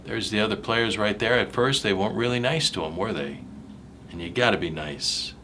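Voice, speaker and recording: A man's voice: on the low side, moderate pace, background noise. A man reading a picture book aloud to children in a dramatic, emphatic way, speaking directly to his young listeners. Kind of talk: animated read-aloud with asides about the pictures.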